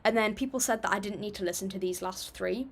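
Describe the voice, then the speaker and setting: A woman's voice: medium pitch, fast, a little hiss or hum. A young woman hosting a casual livestream, chatting and reading viewer comments aloud in an upbeat, apologetic way. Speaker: livestream music reactor.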